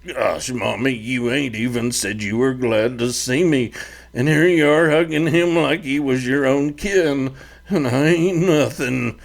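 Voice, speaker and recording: A man's voice: medium pitch, a little slow, gravelly. A man doing a sulky, whiny grown son. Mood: sheepish. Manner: whiny, mumbling.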